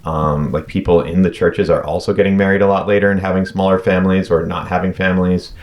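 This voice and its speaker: A man in his late thirties from North Carolina speaks with an extremely deep voice. He hosts a casual conversation and lays out his thoughts in a measured way, pausing now and then with an um. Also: little pitch movement. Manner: measured, thoughtful, with occasional ums. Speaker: podcast host.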